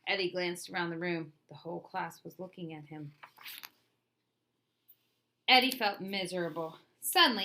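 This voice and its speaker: A woman reading a children's picture book aloud with lively, expressive storytelling. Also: on the low side.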